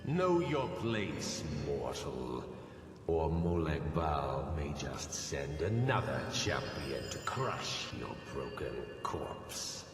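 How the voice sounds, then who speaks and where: A man playing a menacing, domineering immortal servant of a dark god in a fantasy game, slow and imperious. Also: rumbling low, very animated, exaggerated voice. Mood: menacing contempt. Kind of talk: fantasy game character acting. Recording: cavernous long reverb under music.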